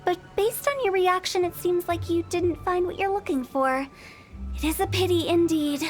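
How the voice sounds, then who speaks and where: A mischievous young funeral director with a very high, bright voice. She teases, giggles and makes morbid jokes with glee. Faint game music sits behind her. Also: moderate pace. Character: playful, macabre young woman funeral director. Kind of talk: game cutscene voice acting.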